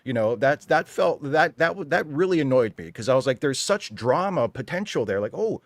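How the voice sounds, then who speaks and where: A man with a mid-pitched voice talks casually on a panel, recorded dry and close. He is opinionated and emphatic, breaking into exasperated rhetorical asides. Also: expressive, moderate pace, dry recording. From United States. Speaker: panelist on a fan talk show.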